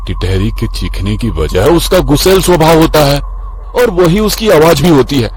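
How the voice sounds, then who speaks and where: A man voicing a brash, carefree young male friend in a horror story, speaking excitably and emphatically. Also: a little high, moderate pace, pitched above the performer's own voice. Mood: dismissive. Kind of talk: acted dialogue in a horror story.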